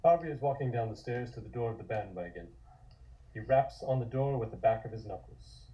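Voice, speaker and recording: A man's voice: medium pitch, fairly quick, highs cut off. A man reading scripted scene narration for a comic table read.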